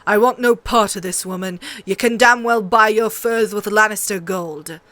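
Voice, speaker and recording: Woman as middle-aged king, gruff, irritable, brusque, commanding, audiobook character. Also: mid-range voice, moderate pace, fairly bright tone, no echo, close to an everyday voice. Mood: grumbling.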